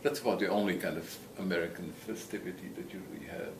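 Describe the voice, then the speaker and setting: A man's voice: low voice, steady tone, fast talker, a crowd in the background. Older male interviewee, slight Latvian accent, casual self-deprecating storyteller, roomy sound, away from mic. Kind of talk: casual reminiscence. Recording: echoey room, distant mic, noisy background.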